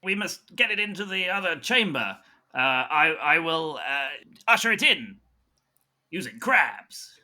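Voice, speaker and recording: A man's voice: on the high side, sing-song, unhurried, pushed and bright, heavily acted. A man acting an earnest, grandiose commander of crabs in an improvised audio roleplay. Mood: grandly rallying. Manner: grandiose, theatrical.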